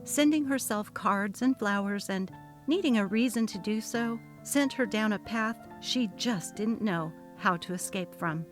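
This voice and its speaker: A woman narrating a short story as a dramatic, gossipy reading, expressive in her delivery. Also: medium pitch.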